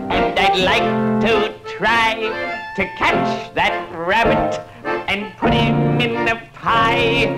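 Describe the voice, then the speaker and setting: A woman's voice: medium pitch, low-quality recording. A woman playing a grumpy, greedy male farmer on a children's storybook record, blustering and threatening. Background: music.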